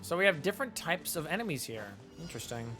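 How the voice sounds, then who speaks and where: A man's voice: a little high, big pitch swings, fast talker, over music. A man giving casual, chatty, playful commentary while live streaming a video game. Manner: chatty, playful, muttering nonsense syllables.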